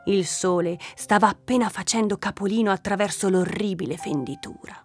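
An actress playing a playful, stubborn, irreverent woman guide in an audio drama, animated and theatrical in an argumentative way. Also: mid-range voice, moderate pace, soft background music, close to an everyday voice. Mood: rapt. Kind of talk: naturalistic audio drama dialogue.

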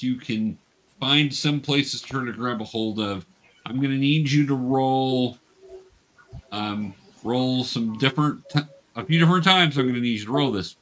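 A man's voice: mid-range voice, bright, forceful tone. A man acting as game master, patiently coaching players and narrating the action in an encouraging, improvised way.

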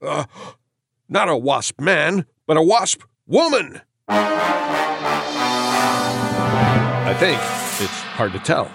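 A man's voice: a little high, sing-song, takes it slowly, gravelly, heavily acted. An actor playing a bluff, brave male hero with hammy bravado in a campy audio drama. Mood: casual. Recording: loud dramatic music underneath. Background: loud music.

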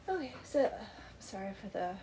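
A woman's voice: on the high side, a little mellow. A middle-aged woman with a stuffy, congested voice hosts a casual book podcast from her basement at home. She talks in a chatty, easygoing way and laughs easily, with faint ambient noise in the background. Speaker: podcast host. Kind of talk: casual book club chat.

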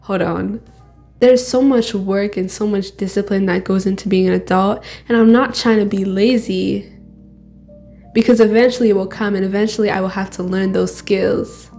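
A woman's voice: medium pitch, fairly even intonation, moderate pace, warm tone, soft background music. A teenage girl co-hosting a podcast, talking candidly and rambling, often laughing at herself. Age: teens. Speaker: podcast co-host. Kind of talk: casual solo chat.

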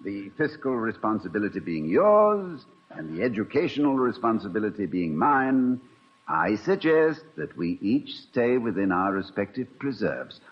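An actor playing a witty, wry middle-aged college president in 1950s radio comedy, speaking in dry, erudite, formal phrases. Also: male voice, fairly low pitch, big pitch swings, normal speed. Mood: measured, wry. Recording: muffled vintage radio recording.

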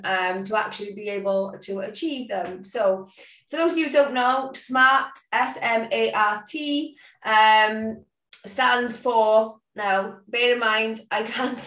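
A woman's voice: a little high, fairly bright tone, recorded from a distance. A woman co-hosting a podcast, chatting casually with giggles and fillers. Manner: giggly, rambling with lots of fillers. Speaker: podcast co-host. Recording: echoey, a bit off-mic.

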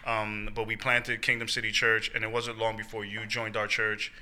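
A man, a church pastor, interviewing a member in a casual, animated way with slang and frequent laughs. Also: lowish voice, moderate pace.

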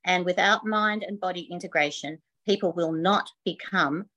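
A woman's voice: lowish voice, moderate pace, sharp tone, dull audio. Enthusiastic woman guest on a podcast. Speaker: guest, yoga and pilates teacher. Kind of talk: casual interview. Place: van while traveling.

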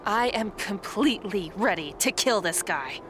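A woman's voice: mid-range voice, animated, moderate pace, over music, close to an everyday voice. A woman playing a tough, hot-tempered fighter in a radio drama, sarcastic and blunt. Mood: menacing impatience.

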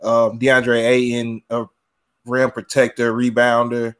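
Steady man breaking down a game. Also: medium pitch, slow. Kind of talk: casual sports analysis.

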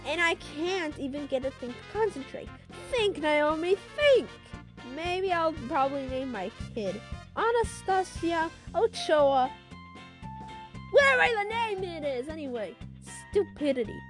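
A very high-pitched, whiny schoolgirl played by a young female storyteller, irritable and sarcastic. She tells off her classmates in a homemade story, her pitch swooping with panicky drama. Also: very animated, measured pace, faint music behind. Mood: frustrated. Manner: whiny, exasperated.

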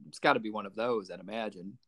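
A man's voice: lowish voice, fast talker, fairly bright tone. A man co-hosting a podcast, chatting casually and conversationally in a comic book review style. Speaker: podcast co-host.

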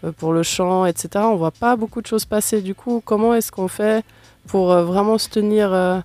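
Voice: mid-range voice, fairly even intonation, normal speed, a little mellow, no room sound. Chatty radio host. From Switzerland. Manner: chatty, asks long winding questions. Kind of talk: radio interview.

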